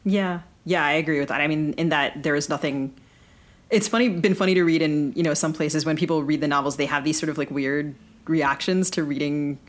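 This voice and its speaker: A woman's voice: low voice, steady tone, fairly quick. A woman co-hosting a casual book podcast, speaking analytically in long, wordy thoughts. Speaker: podcast co-host and fiction writer. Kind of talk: casual literary discussion.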